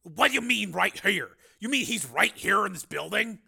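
A man's voice: high-pitched, expressive, normal speed, creaky, pushed and bright, husky, pitched above the performer's own voice, full character voice. A man voicing a blustering, impatient lawman in an audiobook, blunt and exasperated. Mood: anxious, incredulous. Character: blustering, impatient male marshal, cocky lawman.

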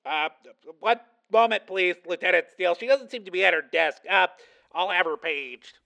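A man's voice: sits high, normal speed, vocal fry, background noise, voice pushed up. A man voicing a nervous newsroom receptionist in an audiobook, hesitant and flustered. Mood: flustered, stalling.

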